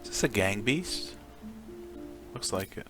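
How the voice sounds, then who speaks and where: A man's voice: lowish voice, lively intonation, a little slow. A man hosting a gaming video, giving casual, offhand let's play commentary as he thinks aloud. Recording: music behind the voice.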